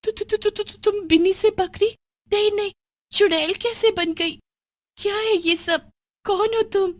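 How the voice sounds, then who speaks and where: A woman's voice: very high-pitched, steady tone, measured pace, no room sound, voice pushed up. A woman voicing a friendly, innocent schoolgirl who scares easily, in a children's cartoon story. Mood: terrified.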